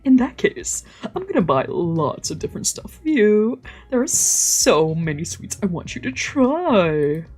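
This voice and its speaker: Doting, chatty visual novel friend voiced by a woman: eager, caring, slightly husky, lifted pitch. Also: sing-song, measured pace, hardly any pauses, a little mellow, faint music behind. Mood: fond, eager.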